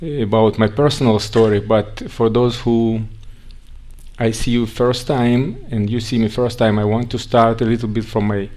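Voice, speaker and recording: A man with a Russian accent, raised in Siberia, giving a conference talk in a halting way with frequent restarts. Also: fairly low pitch, steady tone, a little mellow. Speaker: guest speaker.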